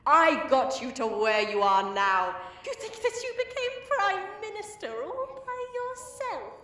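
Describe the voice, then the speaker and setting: A woman's voice: very high-pitched, very animated, unhurried, a bit bright, heavily acted. A woman playing a gloating, scheming villainess who mocks people in a sing-song voice and calls them dearie. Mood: mocking. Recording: roomy, distant, faint noise.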